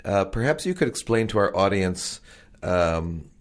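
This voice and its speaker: A man's voice: low-pitched, big pitch swings, a little slow. A man interviewing a doctor, asking curious, encouraging questions with some hesitant fillers.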